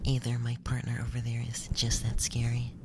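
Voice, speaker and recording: A man voicing a cool, analytical alien scientist in a whispered ASMR roleplay, measured and detached with a sly teasing streak. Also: medium pitch, monotone, lightly acted. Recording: loud background noise and ambience.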